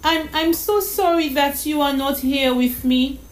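A woman's voice: sits high, measured pace, pitched above the performer's own voice. A woman doing a shy stammering little girl. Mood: eager, hesitant. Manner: hesitant, repeats words.

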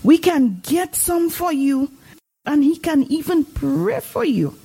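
Excitable devout old village lady, female actor: Dominican Caribbean accent, very high and animated, gushing, drama dialogue. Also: slow, creaky, full character voice. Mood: joyful, earnest. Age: older. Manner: gushing, exclamatory. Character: excitable, devout, gullible older village woman.

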